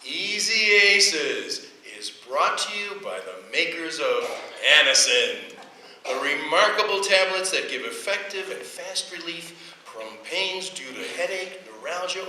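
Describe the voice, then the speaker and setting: A man's voice: fairly high pitch, pushed and bright. An American man announcing in old-time radio style, reading a scripted sponsor message. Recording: echoey, distant old radio recording, faint noise.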